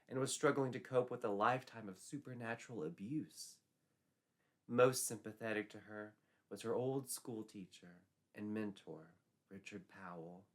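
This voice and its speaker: A man's voice: on the low side, a bit bright. A man narrating a folklore tale as a storyteller, in a dramatic scripted style.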